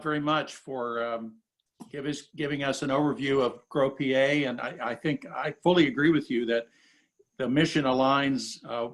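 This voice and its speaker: Male academic host, courteous, unhurried, a few ums, on a video call. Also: medium pitch. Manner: polite, measured, hesitant with fillers. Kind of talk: hosting a virtual panel.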